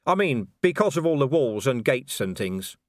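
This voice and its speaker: Male narrator doing a blunt, sardonic veteran cop; dry wit, lively delivery, close dry sound. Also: mid-range voice, moderate pace, dry recording, close to an everyday voice. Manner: dry, mock-innocent sarcasm. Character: weary, blunt, sardonic police commander man.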